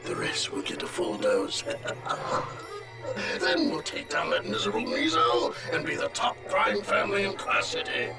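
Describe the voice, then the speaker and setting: A man playing a sinister, fatherly old crime lord in a video game, sly and gloating in a gravelly rasp. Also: sits high, wildly swooping pitch, measured pace, distant mic, loud music behind the voice, exaggerated voice. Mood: sly, scheming. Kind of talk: video game villain acting.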